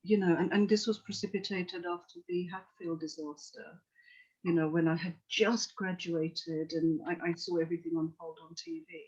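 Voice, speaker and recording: Earnest woman from Tanzania, flat delivery. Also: on the low side, normal speed, breathy edge. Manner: earnest, reflective, many restarts and "you know". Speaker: psychotherapist guest. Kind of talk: podcast interview storytelling. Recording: dull lo-fi call audio.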